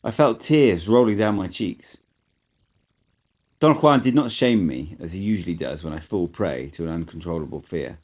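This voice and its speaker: A man narrates a first-person tale in a lowish voice that swings widely in pitch. He reads as a hobby audiobook narrator, with an expressive, dramatic delivery. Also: normal speed. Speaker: amateur audiobook reader.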